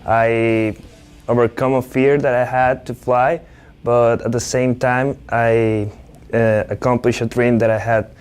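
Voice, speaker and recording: A man from Colombia, a student, talking in a relaxed way with some fillers. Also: mid-range voice, unhurried, smooth, faint music behind. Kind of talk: recorded personal statement.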